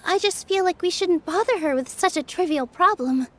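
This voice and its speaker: A gentle earnest game heroine, young, really high voice, a bit hesitant. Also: female voice, animated, normal speed. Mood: flustered. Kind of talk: video game character acting. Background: music.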